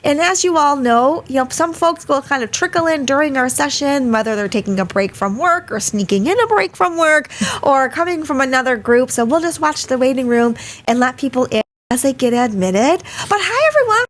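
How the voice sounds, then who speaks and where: A woman with a high voice hosts a live webinar from a small studio. She sounds a little hoarse from a cold. She talks in a chatty way and greets attendees one after another. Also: expressive, fairly quick, fairly bright tone. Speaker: webinar host. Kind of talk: casual live webinar hosting. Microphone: studio mic.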